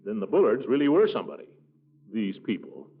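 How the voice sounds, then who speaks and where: An actor playing a pompous, fussy middle-aged uncle who blusters and gets flustered, in 1940s radio comedy style. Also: male voice, on the low side, big pitch swings, brisk, creaky, husky, heavily acted. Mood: indignant, blustering. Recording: muffled, tinny 1940s radio recording.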